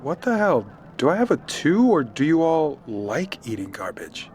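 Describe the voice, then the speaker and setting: Man as modern teenage boy, mouthy, bored, slangy, highish voice, faint background ambience, fantasy audio drama. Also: very animated, moderate pace, a little mellow, a little hiss or hum. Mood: baffled. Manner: slangy, profane, whiny.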